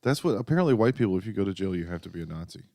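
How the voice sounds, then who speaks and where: A man's voice: sits low, expressive, racing, vocal fry, warm tone, dry recording, studio quality. A man doing casual comic banter, riffing wryly in short quips like a comedian among friends. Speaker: comedian, podcast co-host.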